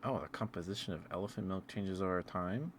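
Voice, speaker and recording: Really deep voiced guy doing rambly asmr stream chat, halting and thinking out loud, bit of background noise. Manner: halting, musing, thinking aloud. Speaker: livestreamer.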